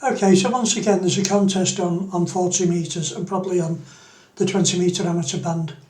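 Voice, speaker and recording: A man's voice: high-pitched, fairly even intonation, a little fast, a little mellow, roomy sound, a little hiss or hum, rough recording. A man giving an unscripted screen-demo tutorial, explaining things step by step. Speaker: tutorial presenter.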